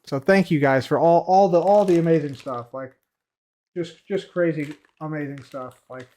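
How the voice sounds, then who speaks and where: A man's voice: fairly high pitch, normal speed. A man chatting casually on a live stream, answering viewer questions, rambling and repeating himself with many fillers, his voice a little tired from heavy use. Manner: trails off quietly at the ends of phrases; reads viewer questions aloud from chat.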